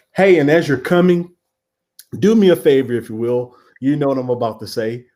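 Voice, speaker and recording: A middle-aged pastor welcoming viewers to his church's online talk show. He speaks in a folksy, looping way, repeating himself and dropping homespun country sayings as he goes. His voice sits at an average pitch for a man. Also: mellow. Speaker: pastor and host. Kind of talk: casual livestream talk show intro.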